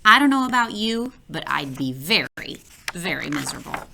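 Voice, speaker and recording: A woman reading a picture book aloud for children, playful and expressive, talking straight to the listener. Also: lowish voice, normal speed, creaky, a little hiss or hum. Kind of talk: read-aloud storytelling for children.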